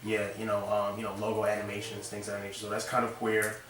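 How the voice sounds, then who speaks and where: A man's voice: lowish voice, quick pace. A man talking casually as an interview guest, rambling with lots of fillers. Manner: relaxed, rambling, many fillers. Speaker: interview guest, design studio owner. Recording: echoey room, distant mic, faint noise.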